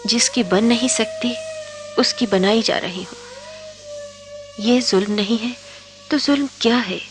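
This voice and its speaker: A woman's voice: fairly high pitch, unhurried, faint music behind, natural voice. An actress playing a defiant young college woman desperately in love, speaking poetically and theatrically in a Hindi radio drama. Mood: anguished, defiant.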